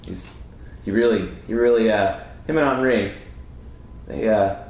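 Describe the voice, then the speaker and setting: A man around thirty talks in a loose, rambling way, often trailing off and restarting. His voice is on the low side. The room around him is echoey, with a faint hiss. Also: a little slow, a little mellow. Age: 30s. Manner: laid-back, rambling, hesitant. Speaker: comedian podcast co-host. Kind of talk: casual chat. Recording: roomy echo with faint hiss.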